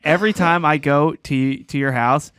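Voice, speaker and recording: A man's voice: on the high side, normal speed, a bit bright, smooth. A man co-hosting a casual podcast, playful and joking as he tells a story. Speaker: podcast co-host. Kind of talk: casual chat.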